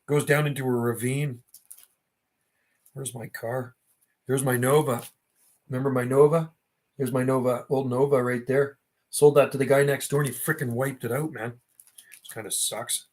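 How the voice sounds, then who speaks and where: A man's voice: medium pitch, steady tone, normal speed, pauses often. A middle-aged man rambling casually on a livestream, talking in a slangy, reminiscing way. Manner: slangy, reminiscing, often ends phrases with "man". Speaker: livestream host.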